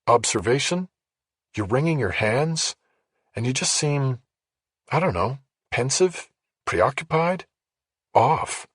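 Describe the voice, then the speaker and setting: A sharp, direct woman, a former FBI agent, voiced by a man reading an audiobook. She asks blunt, probing questions in a raised voice that keeps a very husky grain. The sound is close and dry. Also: medium pitch, very animated, takes it slowly, pauses often, no echo, pitched above the performer's own voice, heavily acted. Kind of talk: audiobook character voice.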